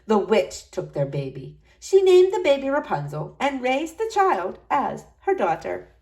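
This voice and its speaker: A woman reading a fairy tale aloud as an expressive storyteller. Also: a little high, measured pace.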